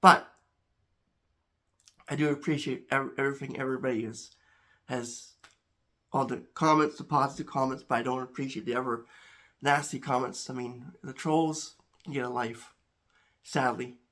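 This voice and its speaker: A man hosting a home chemistry channel talks casually to camera, a little congested, halting with many restarts and repeated words. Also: medium pitch, steady tone, sharp tone.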